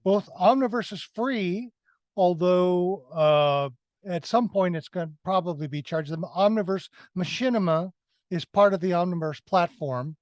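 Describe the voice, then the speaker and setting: Higher-voiced man in casual, explanatory discussion. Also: unhurried, dry recording. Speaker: podcast co-host reviewing films.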